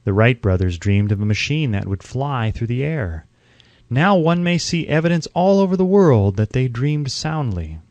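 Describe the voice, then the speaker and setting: A man delivers scripted audiobook narration in a medium-pitched voice. He reads in measured, declarative phrases with an oratorical bent. The recording is dry and close, with no room echo. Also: big pitch swings, a little mellow, highs cut off. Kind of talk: scripted audiobook narration of a self-help book.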